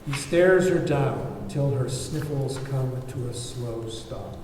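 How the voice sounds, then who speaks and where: Mellow man narrating, very echoey room. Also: medium pitch, slow. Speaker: narrator reading stage directions. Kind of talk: scripted narration at a table read. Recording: very echoey, distant, noisy room recording.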